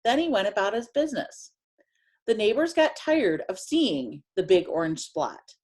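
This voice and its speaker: Animated woman reading a picture book aloud. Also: medium pitch. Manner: animated, emphatic storytelling with drawn-out phrases. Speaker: teacher reading aloud to children.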